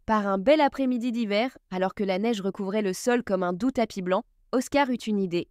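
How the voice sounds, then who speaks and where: A woman narrating a children's Christmas story in a gentle storytelling manner. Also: medium pitch, brisk, dry recording, studio quality. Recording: music bed behind narration.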